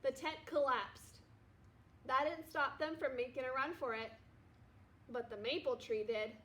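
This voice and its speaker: A woman teacher reads a children's story aloud. Her voice is high, and her storytelling is expressive, made for young listeners. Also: unhurried. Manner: expressive, acts out dialogue. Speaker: second-grade teacher.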